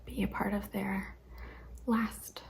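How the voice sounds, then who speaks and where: A young adult woman hosting an ASMR questionnaire video, speaking mostly in a hesitant whisper with frequent ums and soft laughs. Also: mid-range voice, level tone, slow pace, vocal fry. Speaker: ASMR channel host.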